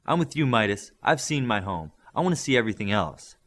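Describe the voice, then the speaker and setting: Man reading eager upbeat teen boy leader, lively pitch, a bit raised. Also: a little fast.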